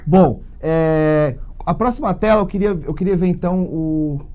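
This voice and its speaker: A man's voice: fairly high pitch, moderate pace, dark tone. A Brazilian man hosting a casual live interview, talking in an informal, effusive way. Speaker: live show host.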